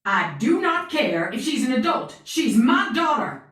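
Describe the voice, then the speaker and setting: A woman's voice: sits high, very animated, a little slow, fairly bright tone, full character voice. An actress playing a stern, insistent mother of a missing daughter in a scripted video game. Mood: urgent, insistent. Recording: roomy echo, distant from mic.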